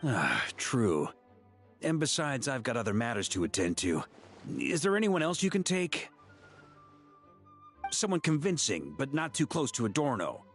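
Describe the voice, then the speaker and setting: A man's voice: mid-range voice, big pitch swings, a little slow, pauses often, soft background music. A man playing an exasperated but good-natured veteran knight commander in a game, authoritative and paternal. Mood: paternal, firm. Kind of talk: game voice acting.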